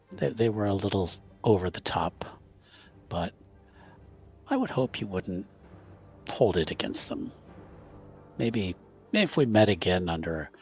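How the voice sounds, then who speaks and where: Man playing a small, hesitant, gravelly polite adventurer. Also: lowish voice, highs cut off, exaggerated voice. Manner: soft, hesitant, apologetic. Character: small, mild, polite male adventurer with staff, Buccio. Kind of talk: in-character tabletop roleplay.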